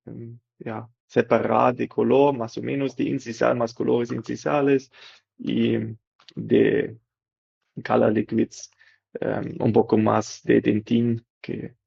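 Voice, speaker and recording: A man, a dental technician and developer, explaining a product in halting with many fillers and tag questions. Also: medium pitch, vocal fry, breathy edge, no echo, lo-fi sound.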